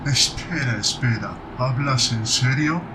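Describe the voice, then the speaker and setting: A man playing a skeptical veteran Iron Warrior who speaks in a slow, wary, questioning way. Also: mid-range voice, noisy background, lightly acted. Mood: doubtful.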